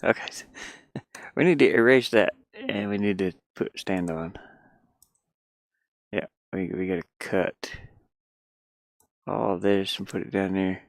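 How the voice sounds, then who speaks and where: A man with a fairly low voice and a gravelly fry talks his way through a task on screen. His delivery is casual and halting, often muttered to himself, and the sound is close and dry. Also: no room sound. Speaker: hobby game developer. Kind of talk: casual think-aloud screen-recording commentary.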